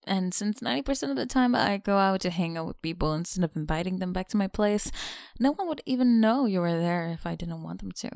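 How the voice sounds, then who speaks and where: A woman voicing a mean, teasing tsundere college bully in an intimate ASMR roleplay, creaky and often dropping into breathy whispers. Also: mid-range voice, animated, quick pace, darkish tone, no room sound, studio quality. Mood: bossy, matter-of-fact.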